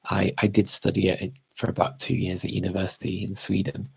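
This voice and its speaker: Man, very husky and rough, low-pitched, hesitant and self-correcting, casual question-and-answer chat. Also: monotone, a little fast, dry recording. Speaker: language expert answering audience questions.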